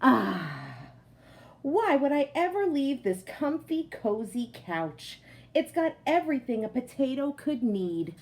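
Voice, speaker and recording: A woman's voice: high-pitched, big pitch swings, takes it slowly, a little mellow, full character voice. A woman voicing a smug, comfort-loving male potato from a picture book, boastful and exclamatory. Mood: cozy, content. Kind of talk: picture-book character narration.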